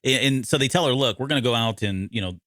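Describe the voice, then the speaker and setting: A man co-hosting a podcast, recapping a story in casual, halting conversation and often correcting himself. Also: medium pitch, fairly bright tone, no echo, clean studio sound. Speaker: podcast co-host. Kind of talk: casual discussion recapping an audio drama.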